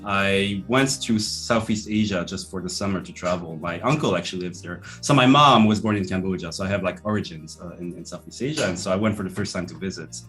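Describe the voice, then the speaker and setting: A man in his thirties from French-speaking Switzerland, with a French accent, answering interview questions thoughtfully over a video call from his office, his sentences trailing off softly. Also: lowish voice. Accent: French-speaking Swiss. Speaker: guest, professor. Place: office with bookshelves. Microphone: video call webcam.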